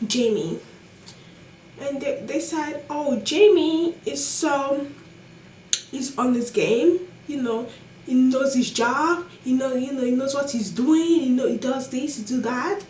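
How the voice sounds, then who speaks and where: A young woman with a non-American accent telling college stories casually, animated and exasperated, with many fillers. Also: high voice, reverberant, far from the mic, a little hiss or hum, rough recording. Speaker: college student vlogger. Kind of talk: casual storytelling to camera.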